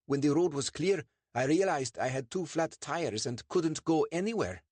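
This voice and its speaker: A nervous, excitable truck owner, as a male narrator puts him on, pleading in a high, strained voice that jumps all over. The tone stays husky, and the recording is close and dry. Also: moderate pace, no room sound.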